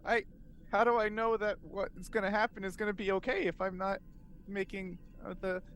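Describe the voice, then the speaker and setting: A man playing a tabletop game, chatting casually in a playful, halting way as he improvises. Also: sits high, fairly even intonation, a bit bright, a little hiss or hum.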